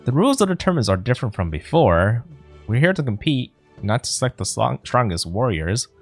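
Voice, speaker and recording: A man's voice: medium pitch, big pitch swings, fairly quick, breathy edge, soft background music. A man voicing a confident, easygoing athletic coach while reading game dialogue aloud, breezy and good-natured. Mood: businesslike, upbeat.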